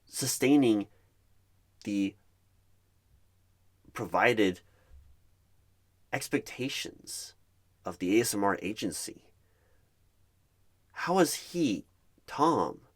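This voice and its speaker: A man with a fairly low voice narrates an ASMR roleplay in the third person. He goes very slowly, in short dramatic phrases, with lively swings in pitch. There is some background noise.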